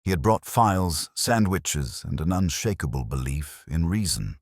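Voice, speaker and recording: A man with an extremely deep voice narrates a literary detective story. His delivery is measured and melancholy, yet the pitch rises and falls in lively swings. The sound is dry, with no room echo. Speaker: audiobook narrator. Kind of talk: scripted first-person detective story narration.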